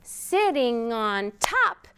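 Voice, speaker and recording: A woman's voice: fairly high pitch, very animated, very slow, pushed and bright, clean tone. A woman hosting a storytime for young children, reading aloud expressively and prompting them with simple questions. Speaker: children's storytime host at a library.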